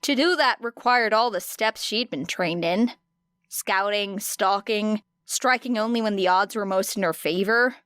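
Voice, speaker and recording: A woman reading scripted fiction as an audiobook narrator, expressive, with deliberate emphasis on key phrases. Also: medium pitch, clean tone, dry recording, studio quality.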